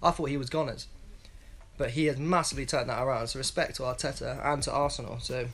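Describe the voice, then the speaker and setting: A man's voice: mid-range voice, fairly even intonation, quick pace, a bit bright. A young man in his twenties giving a blunt, rambling football opinion vlog from a small room at home on an earphone mic. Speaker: football pundit vlogger. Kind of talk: casual solo football analysis vlog. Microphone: wired earphone mic.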